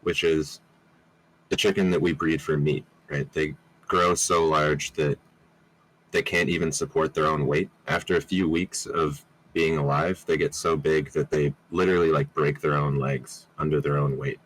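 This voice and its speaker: A man's voice: rumbling low, steady tone, moderate pace, fairly bright tone, slight background noise, low-quality recording. A man on a call explaining point by point, often checking in with a questioning tag. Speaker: community member explaining.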